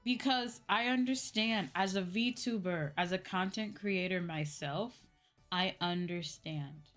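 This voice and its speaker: Female streamer, low register, informal and explanatory, talking to chat over faint music. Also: slow pace, a bit bright. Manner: informal, explanatory, often repeats points and checks with "right?". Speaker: streamer, VTuber host. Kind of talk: casual livestream chat answering viewers.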